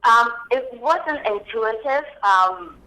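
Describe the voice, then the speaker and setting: A woman's voice: on the high side, animated, slow pace, bright, forceful tone, low-quality recording. A woman from Hong Kong whose first language is Cantonese, a copywriting expert explaining things step by step as a podcast guest. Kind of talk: podcast interview.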